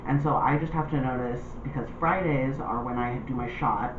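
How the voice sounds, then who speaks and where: A man's voice: medium pitch, moderate pace. A young adult man talking casually to camera as a vlogger. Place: small indoor room. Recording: thin phone-like sound, roomy, clear noise.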